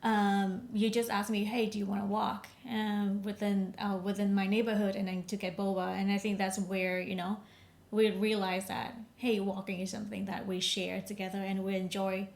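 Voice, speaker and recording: A woman's voice: medium pitch, flat delivery, normal speed, creaky. A woman from Vietnam, co-hosting a podcast with her husband in casual, phrasing. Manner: warm, non-native phrasing, frequent laughs. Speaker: podcast co-host. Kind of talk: casual chat.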